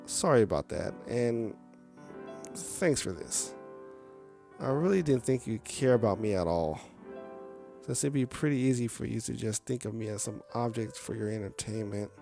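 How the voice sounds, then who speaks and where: A man's voice: fairly low pitch, lively intonation, moderate pace, vocal fry, mellow. A man voicing a sad, insecure male clown puppet who gets defensive, in a visual novel game. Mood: awkward, apologetic. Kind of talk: visual novel character reading. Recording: music faintly behind the voice. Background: music.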